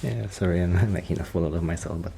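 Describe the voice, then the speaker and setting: A soft-spoken man rambling casually in a shy, hesitant, apologetic way, in the style of an ASMR friend roleplay. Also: low-pitched, fast. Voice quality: soft-spoken, sometimes dropping toward a whisper. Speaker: ASMR roleplay performer playing a musician friend. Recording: clear background noise.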